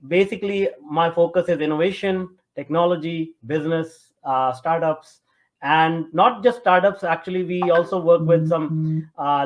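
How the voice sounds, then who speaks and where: North indian guy giving interview answers, kinda high voice, lots of ums and halting bits, dull lo-fi audio. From Punjab, northern India. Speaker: interview guest, tech entrepreneur.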